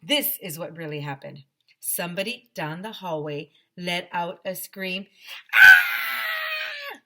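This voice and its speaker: A woman teacher reading a children's storybook aloud, animated and emphatic, speaking directly to her young listeners. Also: lowish voice, measured pace, heavy background noise.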